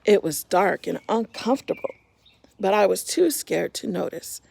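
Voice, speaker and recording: A woman reading a children's story aloud in an expressive, simple storytime manner, talking directly to young listeners. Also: mid-range voice, moderate pace, vocal fry.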